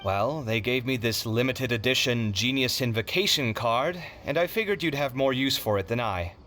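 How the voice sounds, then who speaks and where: A man playing a proud, generous young man in an anime video game, casual and theatrically expressive. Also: mid-range voice, moderate pace, slight background noise.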